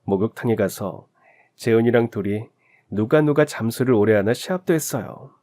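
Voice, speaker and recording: A man's voice: on the low side, warm tone. Man narrating a heartfelt story. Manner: emotive, measured storytelling.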